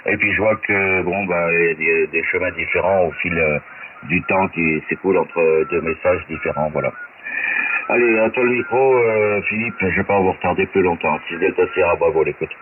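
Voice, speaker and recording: Low-voiced man on static-filled shortwave radio. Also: normal speed. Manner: hesitant, thinking aloud. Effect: amateur shortwave radio transmission. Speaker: amateur radio operator. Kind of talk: casual technical radio chat. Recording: very noisy narrow-band shortwave radio. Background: loud radio static.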